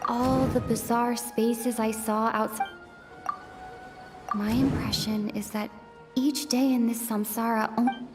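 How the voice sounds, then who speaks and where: A young woman traveler speaks her message slowly and thoughtfully. Her voice sits high and unhurried. Music plays clearly behind this video game monologue. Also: steady tone. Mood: reflective.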